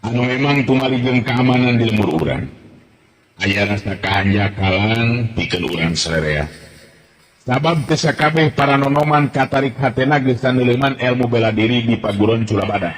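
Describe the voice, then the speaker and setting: A man's voice: mid-range voice, moderate pace, voice pushed up, close to an everyday voice. Guy playing an old village chief giving a formal speech, solemn, hissy echoey radio tape. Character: solemn older male village head.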